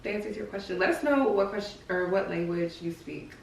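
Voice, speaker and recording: A woman's voice: medium pitch, lively intonation, fairly quick, distant mic, a little hiss or hum. A woman podcast co-host chatting casually in a laid-back, teasing, slangy way. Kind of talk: casual chat. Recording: echoey room, off-mic.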